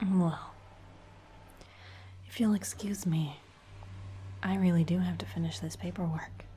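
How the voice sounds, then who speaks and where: A woman's voice: lowish voice, fairly even intonation, slow pace, pauses often, dark tone, a little hiss or hum. A woman whispering in an ASMR roleplay as a teasing, predatory female vampire office boss. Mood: smug. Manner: whispered throughout.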